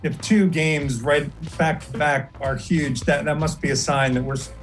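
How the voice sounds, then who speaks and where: A man who invents games reflects on his career as a guest, in a steady, reflective manner. He speaks in a flat, even tone, with faint music behind him. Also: on the high side, normal speed.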